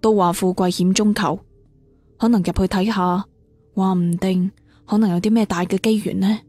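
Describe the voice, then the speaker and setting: A man's voice: high voice, fairly even intonation, moderate pace, warm tone, no room sound, soft background music, voice pushed up. A man voicing a weary young male hero who broods and resolves in an audio storybook. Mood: brooding, hesitant.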